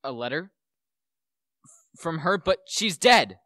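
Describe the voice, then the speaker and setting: A man's voice: a little high, sing-song, slow pace, sharp tone, no echo, studio quality, heavily acted. A young man playing a bitter, gloating widower who poisoned his wife, in a theatrical, brooding radio play monologue. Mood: shaken, wary. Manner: melodramatic, brooding, declamatory.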